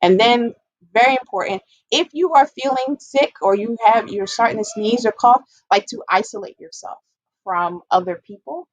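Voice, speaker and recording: A woman's voice: fairly high pitch, normal speed, dry recording, low-quality recording. A woman giving an informal, explanatory public health presentation, stressing and repeating her key points as an educator.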